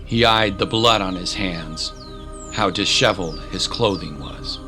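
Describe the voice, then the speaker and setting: A man's voice: deep voice, unhurried, pushed and bright. A man narrating a scripted adventure story in a dramatic style. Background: music.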